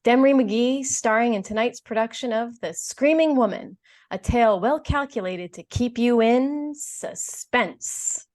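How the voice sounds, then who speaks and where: A woman's voice: a little high, a little slow, a little mellow. A woman announcer introducing a program in a formal, theatrical old-time radio style.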